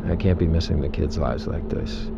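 A man's voice: a bass voice, a little fast, gravelly. An actor playing a middle-aged husband and father, earnest and eager to please, in a naturalistic, stammering delivery. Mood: earnest, remorseful. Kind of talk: naturalistic audio drama acting. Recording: dull sound with traffic noise.

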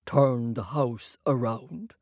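A woman voicing a huge, gruff, boastful male giant in a told folktale, in a loud, blustering way. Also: slow, no room sound, clean studio sound, exaggerated voice. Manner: booming, blustering.

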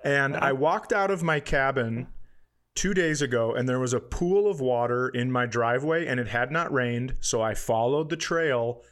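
A man's voice: medium pitch, moderate pace. A man co-hosting a podcast, telling an everyday story casually, with swearing and quoted dialogue. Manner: profane, animated retelling with quoted dialogue. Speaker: podcast co-host.

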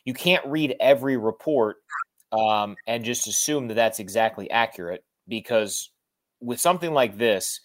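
American man talking sports, conversational and emphatic. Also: medium pitch. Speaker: sports radio play-by-play broadcaster, interview guest.

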